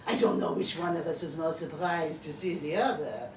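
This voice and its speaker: A woman reading a story aloud as a courteous, sentimental Frenchman in his fifties, halting and earnest. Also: low voice, a little mellow, lightly acted. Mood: wistful. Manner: halting, earnest, self-conscious. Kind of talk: live short story reading. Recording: echoey venue, far from mic, noisy.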